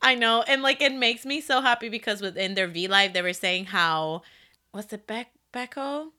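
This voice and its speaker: A woman with a Dominican accent co-hosting a casual chat about K-pop, talking excitedly with lots of fillers. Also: on the high side, normal speed. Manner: excited, rambling with many fillers. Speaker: podcast co-host.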